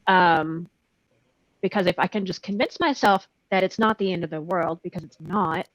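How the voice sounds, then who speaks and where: Female panelist, slightly low register, conversational, close dry recording. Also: moderate pace, no echo, poor audio. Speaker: voice actor on a panel. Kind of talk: casual panel chat. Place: indoors on a video call.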